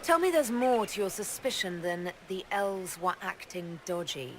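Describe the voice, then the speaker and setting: An actress playing a cool, direct elven heroine in a fantasy game, speaking dryly. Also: female voice, mid-range voice, big pitch swings, moderate pace, slight background noise, lightly acted. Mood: cool, determined. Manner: direct, dry, unimpressed. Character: cool, blunt young elven heroine leading an order. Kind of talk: video game cutscene acting.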